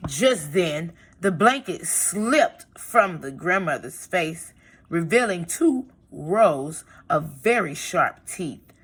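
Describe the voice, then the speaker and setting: A woman reading a storybook aloud to young children as a teacher, pausing to explain things in simple phrases. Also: on the low side, animated, slightly rough. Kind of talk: expressive storybook read-aloud with asides.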